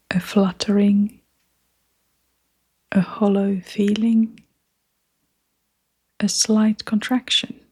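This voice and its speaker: A woman guiding a meditation in a soft, half-whispered voice, gentle and soothing, leaving long pauses between phrases. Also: medium pitch, breathy edge. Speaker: meditation guide. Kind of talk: guided meditation narration.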